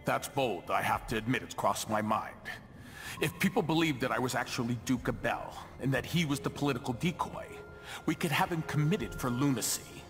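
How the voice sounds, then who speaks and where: Actor as a wry, gravelly schemer in a reverberant hall. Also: male voice, mid-range voice, soft background music, natural voice. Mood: calculating. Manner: theatrical, wry, measured. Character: shrewd male body double of a duke. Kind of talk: video game cutscene acting.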